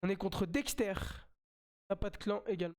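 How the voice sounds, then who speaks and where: Smooth-voiced French man streaming a game. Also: high voice, fairly quick, darkish tone. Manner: chatty, repeats himself while playing. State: has a slight cold. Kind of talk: live mobile game commentary.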